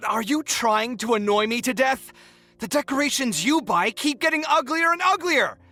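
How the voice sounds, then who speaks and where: Bright, theatrical, haughty young artist. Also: male voice, extremely high pitch, animated, normal speed, soft background music, exaggerated voice. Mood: exasperated. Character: proud, touchy, dramatic young male artist. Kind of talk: animated video game voice acting.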